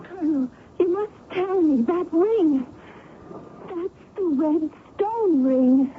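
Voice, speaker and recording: An actress playing an earnest, impressionable young daughter in a dramatic old-time radio style. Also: female voice, very high-pitched, animated, takes it slowly, heavily acted. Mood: shaken, insistent. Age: young adult. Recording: tinny, noisy old radio recording.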